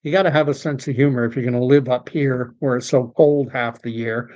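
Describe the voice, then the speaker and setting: A middle-aged man hosting a late-night livestream, rambling and opinionated as he reads and answers viewer chat, his voice tired and getting hoarse. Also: medium pitch, steady tone, fairly quick, a little mellow, muffled recording. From Canada. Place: home streaming setup.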